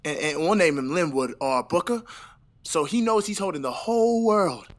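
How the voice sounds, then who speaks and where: A man's voice: on the high side, expressive, moderate pace, close to an everyday voice. A young man playing an earnest, ambitious newlywed husband in an audio play set in the 1920s, with a hesitant, dreamy manner. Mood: dreamy, fervent. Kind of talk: stage play audio reading.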